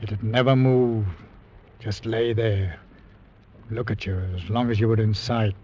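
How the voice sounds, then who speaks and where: An actor playing a gruff, cautious ship captain in a 1940s radio drama, with clipped speech. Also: male voice, on the low side, fairly even intonation, a little slow, warm tone. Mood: ominous. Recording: dull old radio recording.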